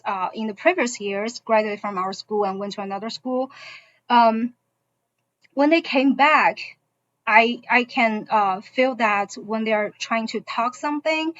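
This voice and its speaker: A woman from China, a native Mandarin speaker with a Mandarin accent, explaining a school program to parents as a teacher in halting English. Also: on the high side, fairly bright tone, no echo. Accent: Mandarin Chinese. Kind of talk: informational presentation to parents. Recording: dull, muffled online-session audio.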